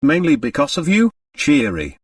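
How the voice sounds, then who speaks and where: A man voicing a nonchalant, wry gentleman in an audiobook, talking casually. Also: on the high side, huge pitch swings, moderate pace, breathy edge, no room sound, studio quality, far from the mic, pitched above the performer's own voice, exaggerated voice. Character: nonchalant, wry gentleman in money and marriage trouble.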